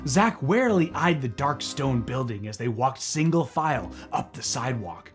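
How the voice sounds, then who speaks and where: Animated male children's storybook reader. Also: medium pitch, normal speed, faint music behind. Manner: dramatic, silly, playful. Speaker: comedian reading children's books aloud. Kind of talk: theatrical storybook narration.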